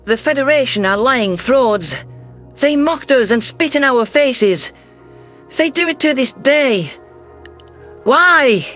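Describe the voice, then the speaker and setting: A man's voice: very high voice, lively intonation, slow, pauses often, bright, forceful tone, soft background music, full character voice. A man voicing an emotional female alien governor, narrating a science fiction audiobook in an earnest, formal, dramatic way. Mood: angry, pained.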